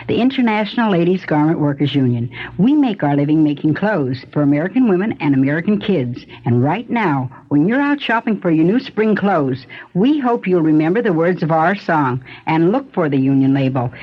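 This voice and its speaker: A woman union spokesperson reading a scripted public appeal for a vintage radio commercial. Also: low voice. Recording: muffled vintage radio broadcast.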